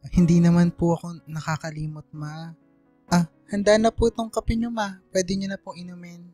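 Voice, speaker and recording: Woman playing a mellow, meek Filipino young son. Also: lowish voice, flat delivery, moderate pace, soft background music, close to an everyday voice. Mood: meek, resigned. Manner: polite, meek, hesitant. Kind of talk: radio drama acting.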